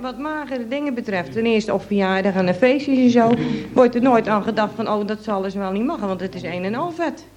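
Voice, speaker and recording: A woman's voice: medium pitch, expressive, fairly quick, hardly any pauses. A woman putting casual questions and remarks as an audience member in a discussion.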